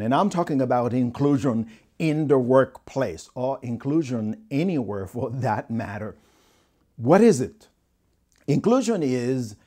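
A man's voice: medium pitch, dark tone. A middle-aged man with a Haitian accent speaks straight to camera as a motivational speaker, talking earnestly about the workplace, recorded in a studio on a lapel mic. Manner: earnest, gestures with both hands while talking. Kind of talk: direct-to-camera talk.

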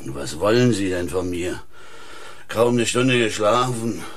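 A man's voice: lowish voice, animated, unhurried. An actor playing a surly, defensive man roused from sleep, in a German radio crime drama. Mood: grumpy, evasive.

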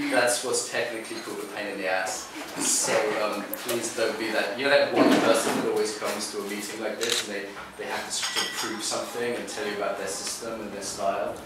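A lower-voiced man with a British English accent leads a small live workshop, joking and direct in his delivery. He is heard from across an echoey room over steady background noise. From England. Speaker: workshop leader. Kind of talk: lively workshop talk with guided exercises. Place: small cafe room, indoors, live audience. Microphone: far camera. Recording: echoey room from far off, noisy.

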